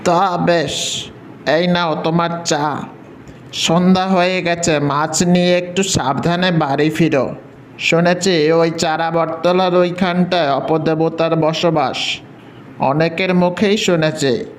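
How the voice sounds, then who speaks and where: Man as a high-pitched, slow-talking village vendor. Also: fairly even intonation, slight background noise. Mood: neighbourly. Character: polite, neighbourly village market and tea-stall vendor. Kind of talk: cartoon dialogue acting.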